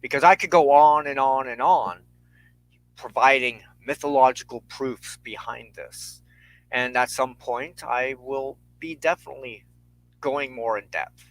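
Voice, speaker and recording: Man, very unhurried delivery, mid-range pitch, earnest spiritual host, short halting phrases, deliberate pauses. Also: poor audio. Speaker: host and minister of a spiritual show. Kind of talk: reflective spiritual talk to an online audience.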